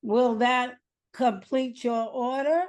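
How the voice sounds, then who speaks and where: A performer playing a drive-through order taker heard through a broken speaker box, drawn-out and garbled, in a comic script read. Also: mid-range voice, steady tone, natural voice. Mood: garbled, formal.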